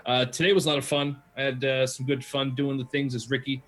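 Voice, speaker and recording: A man's voice: medium pitch, level tone, brisk, a bit bright. A man who streams games, chatting casually as a player. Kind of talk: casual chat.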